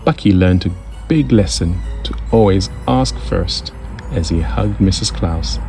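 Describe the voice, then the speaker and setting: Guy narrating a kids story as storytime host, really slow and deliberate, lowish voice, bit of music under. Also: vocal fry, warm tone. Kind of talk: children's read-aloud narration.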